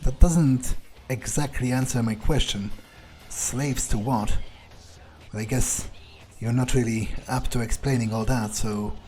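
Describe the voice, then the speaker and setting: A man's voice: mid-range voice, steady tone, a little slow, warm tone, faint music behind, natural voice. A man roleplaying a polite, inquisitive young fledgling vampire in a video game, speaking hesitantly and tentatively. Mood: probing.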